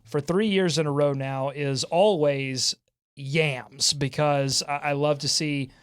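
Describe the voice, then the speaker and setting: Higher-pitched man hosting sports talk, excitable. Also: takes it slowly, clean tone. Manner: excitable, animated. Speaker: sports talk show host. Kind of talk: casual sports fan banter with comments and highlights.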